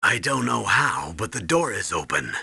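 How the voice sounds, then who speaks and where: Forceful, husky military commander. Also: male voice, lowish voice, sing-song, normal speed, no echo, full character voice. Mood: commanding, steady. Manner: commanding, emphatic, instructive. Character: gruff, urgent military commanding officer briefing his agent. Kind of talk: video game radio briefing acting.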